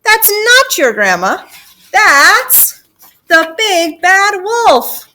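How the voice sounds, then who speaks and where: A woman voicing a nice, earnest little girl in a children's storybook, with an excitable manner. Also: sits high, normal speed, heavily acted. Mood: alarmed. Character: little girl Gertie, nice, pleading, earnest ugly sister.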